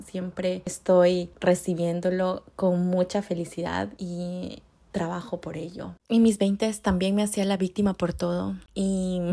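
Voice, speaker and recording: Upbeat woman in her 30s giving life advice on her podcast, kinda low voice, calls everyone honeys. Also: warm tone. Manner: upbeat, encouraging, addresses listeners as honeys. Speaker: podcast host. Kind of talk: casual motivational monologue.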